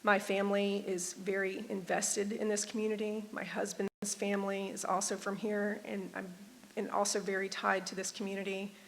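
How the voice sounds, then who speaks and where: A woman's voice: mid-range voice, flat delivery, moderate pace, creaky. A woman in her forties, a judicial candidate, speaking sincerely and from the heart at a public forum. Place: performing arts center stage. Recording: reverberant auditorium.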